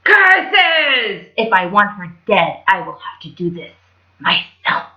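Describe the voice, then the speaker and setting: A woman's voice: mid-range voice, very animated, takes it slowly, echoey room, highs cut off. A woman voicing a vain, scheming wicked queen in a storybook tale, theatrical and imperious. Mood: furious scheming.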